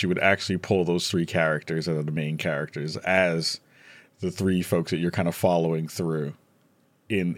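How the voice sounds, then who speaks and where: A man's voice: sits low, no echo. A man speaking casually as a guest in a podcast discussion.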